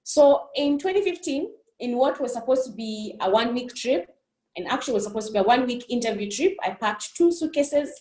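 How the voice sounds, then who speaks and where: A woman's voice: a little high, lively intonation, fairly quick, a little mellow, reverberant, low-quality recording. A woman from Burundi giving a passionate conference keynote, earnest and repeating phrases for emphasis. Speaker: keynote speaker. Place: on a remote call.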